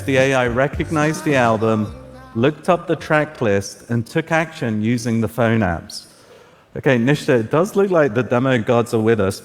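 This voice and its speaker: Man, Farsi native, stage presenter, upbeat, mid pitch, echoey auditorium. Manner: upbeat, guiding the demo with quick cues. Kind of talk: live tech demo talk. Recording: echoey hall.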